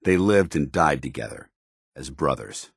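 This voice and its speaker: An actor playing a stern, honor-bound soldier in a video game, speaking in a formal, commanding and earnest military way. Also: male voice, rumbling low, lively intonation, unhurried, vocal fry, husky, no echo, clean studio sound, exaggerated voice. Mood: solemn. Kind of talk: video game companion dialogue.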